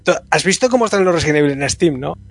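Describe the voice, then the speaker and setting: A man's voice: a little high, lively intonation, fast, dry recording. Chatty, opinionated man. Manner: chatty, opinionated, laughs often. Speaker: podcast co-host. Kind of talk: casual gaming chat.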